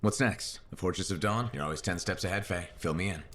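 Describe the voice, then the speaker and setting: A man playing a steady, wry pilot in a video game drama, speaking in a dry, understated way. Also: low voice, fast talker. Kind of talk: cinematic game drama acting.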